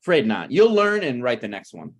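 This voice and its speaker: A man playing a brazen, scheming slacker screenwriter in a table read of a TV pilot, blunt and presumptuous with halting phrasing. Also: mid-range voice, big pitch swings. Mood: blunt, self-assured.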